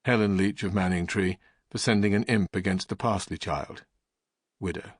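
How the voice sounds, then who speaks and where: A man reading scripted audiobook text in a grave, formal and deliberate manner. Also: deep voice, fairly even intonation, breathy edge, no echo, clean studio sound. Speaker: audiobook narrator.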